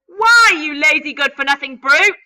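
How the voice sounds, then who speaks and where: A woman's voice: high-pitched, animated, normal speed, pushed and bright, pitched above the performer's own voice, full character voice. A woman voicing a cruel, bullying aunt who screeches and snaps at a child. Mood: furious. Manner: screeching, snapping orders. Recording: dull, distant mic.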